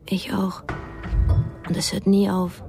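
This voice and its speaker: A woman's voice: medium pitch, level tone, slow, creaky. A dreamy, curious young woman, music behind. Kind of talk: intimate radio play acting.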